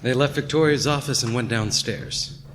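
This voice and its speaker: A man's voice: mid-range voice, moderate pace, background noise, close to an everyday voice. A man playing a wistful narrator looking back, in scripted radio drama narration. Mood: matter-of-fact. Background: plucked guitar music.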